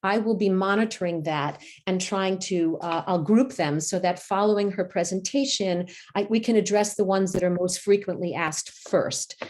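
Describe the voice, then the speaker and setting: A woman's voice: lowish voice, a little mellow. A woman hosting a webcast over a video call, introducing the speaker with frequent fillers. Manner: hesitant, many fillers. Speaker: host.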